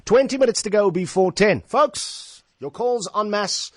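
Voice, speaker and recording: A man's voice: high voice, animated, a little slow, no room sound, studio quality. A man with a South African accent hosting a live radio phone-in in an informal, playful way, with slangy banter. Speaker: radio talk show host. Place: radio studio.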